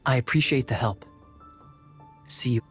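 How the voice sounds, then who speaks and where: A man voicing a smooth, wry knight captain with courtly manners and soft chuckles, for a game. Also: medium pitch, a little slow, faint music behind, natural voice. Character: suave, scheming young knight captain. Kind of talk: game voice acting.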